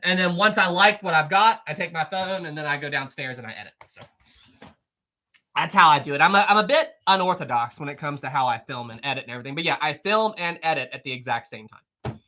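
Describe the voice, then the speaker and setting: A man hosting a casual live stream, answering viewer messages in an animated, rambling way with frequent fillers, restarts and laughs. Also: on the high side, fast. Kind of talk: casual live-stream chat reading super chats.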